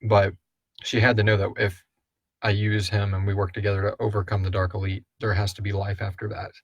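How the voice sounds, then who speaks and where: A man's voice: lowish voice, level tone, fairly quick, no echo, highs cut off. A man hosting a podcast, chatting casually and thinking aloud as he answers listener questions. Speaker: podcast host and creator. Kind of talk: casual live Q&A chat.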